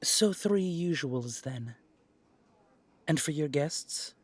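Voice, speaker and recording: A man's voice: on the high side, lively intonation, takes it slowly, breathy edge, no echo, full character voice. A man playing a polite, composed, peace-loving cafe owner in a fantasy audio drama. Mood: courteous. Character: Trevelyan, courteous peace-loving cafe owner from a magical family. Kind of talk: audio roleplay drama acting.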